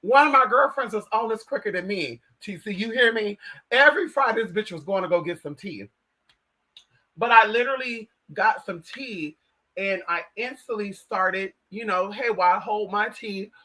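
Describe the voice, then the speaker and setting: An American man with a high, strikingly bright and forceful voice hosts a casual livestream. He talks straight to viewers in a sassy, slangy manner, leaning hard on words and calling listeners by pet names. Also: moderate pace, low-quality recording. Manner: sassy, slangy, emphatic, addresses listeners as honey and girl. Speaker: livestream host.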